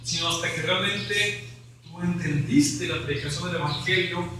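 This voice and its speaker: Male pastor, emphatic preaching, bright ringing voice, heard from afar in an echoing church hall. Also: high voice, moderate pace, recorded from a distance, a little hiss or hum. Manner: preaching, rising to emphatic questions. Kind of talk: evangelical sermon.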